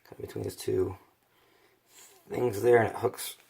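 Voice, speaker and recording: A hoarse man, rambling casually through a toy collector's unboxing video. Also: lowish voice, fairly even intonation, moderate pace, darkish tone. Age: 30s. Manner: rambling, many fillers and restarts. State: hoarse from bronchitis.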